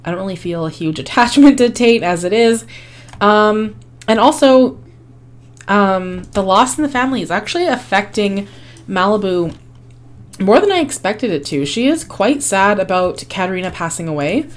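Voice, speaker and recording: Hoarse woman chatting through a game. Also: medium pitch, lively intonation, normal speed. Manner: chatty, rambling with frequent ums and small laughs. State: hoarse, losing her voice. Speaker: gaming channel host. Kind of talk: casual gameplay commentary.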